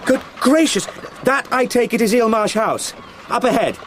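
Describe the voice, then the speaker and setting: An actor playing an eager, polite young English solicitor in a period radio drama, formal and chatty in manner. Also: male voice, very high voice, normal speed, a little hiss or hum. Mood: curious, awed. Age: young adult. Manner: well-spoken, formal, chatty.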